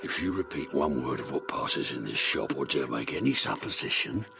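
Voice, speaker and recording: An actor playing a menacing, suspicious shopkeeper in a period audio drama, curt and domineering. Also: male voice, rumbling low, lively intonation, normal speed, vocal fry, music in the background, exaggerated voice. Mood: threatening. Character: menacing, suspicious male barber shopkeeper hiring a boy.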